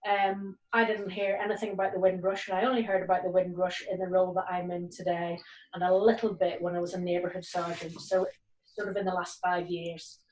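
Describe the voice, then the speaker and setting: A woman's voice: fairly low pitch, flat delivery, brisk. Earnest woman police sergeant on a video call. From Nigeria and Northern Ireland. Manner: earnest, many ums and restarts. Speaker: police community cohesion sergeant. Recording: roomy, distant video call audio.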